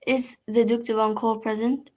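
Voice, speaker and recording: Girl playing lady prefect, highish even voice, formal and in charge, radio mystery. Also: moderate pace, close to an everyday voice. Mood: formal, measured. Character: formal, authoritative female French prefect judging a case. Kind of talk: amateur performance of a 1940s radio mystery.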